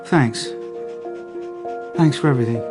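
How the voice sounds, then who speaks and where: An actor playing a reserved, methodical and quietly humane police detective in a radio drama, speaking in a terse, understated way. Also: male voice, medium pitch, unhurried, over music, close to an everyday voice. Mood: tired, relieved.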